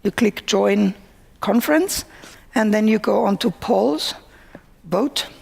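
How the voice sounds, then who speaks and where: A woman chairing a conference session, calmly walking the audience through each step. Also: medium pitch, gravelly, breathy edge. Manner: slow, instructing the audience. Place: conference hall.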